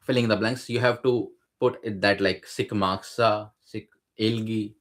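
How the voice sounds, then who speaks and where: Man, lower register, hesitant conversational explainer. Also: steady tone, measured pace, a bit bright. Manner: hesitant, many fillers and restarts. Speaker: guest sharing exam experience.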